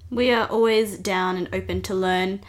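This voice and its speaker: A woman's voice: medium pitch, fairly even intonation, normal speed. A young woman co-hosting a podcast, chatting casually, swearing now and then and laughing often. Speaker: podcast co-host. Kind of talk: casual chat.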